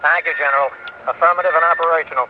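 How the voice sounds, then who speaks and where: An actor playing a young astronaut captain giving crisp, clipped reports. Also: male voice, fairly high pitch, steady tone, very fast, natural voice. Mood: composed. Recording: tinny radio transmission with loud hiss.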